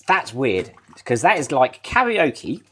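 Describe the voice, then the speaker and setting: A man's voice: medium pitch, sing-song, slow pace, a bit bright, slightly rough. A British man streaming live from his home kitchen while baking, rambling casually, laughing often and answering his chat. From United Kingdom.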